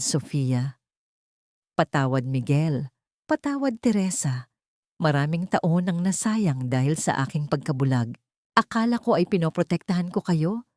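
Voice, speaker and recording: A woman voicing a remorseful, pleading father in an audiobook drama, gentle and halting in manner. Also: on the low side, no room sound, clean studio sound. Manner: soft, halting, emotional.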